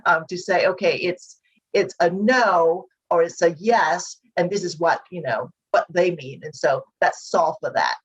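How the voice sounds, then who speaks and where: A woman's voice: lowish voice, unhurried, husky, low-quality recording. A woman speaking as a podcast guest who once worked in international banking, readily agreeing and talking with frequent "um" and "you know". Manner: agreeable, affirming, many fillers like "um" and "you know".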